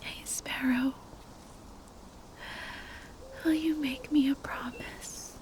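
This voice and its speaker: Woman acting a slow, whispering, grieving spellcaster woman. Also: sits high, steady tone, a little mellow. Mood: tender, sad. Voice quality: breathy, whispered. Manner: halting, tender, sighing delivery. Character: grieving, tender woman spellcaster, devoted ex-girlfriend. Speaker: ASMR roleplay voice actor. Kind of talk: whispered ASMR roleplay, intimate drama.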